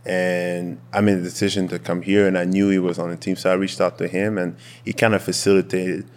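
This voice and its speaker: A young man from Quebec whose first language is French, speaking English with a Quebec French accent as an interview guest, relaxed and candid with many fillers. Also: low-pitched, fairly quick, in a large hall. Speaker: guest, football player. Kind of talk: casual interview.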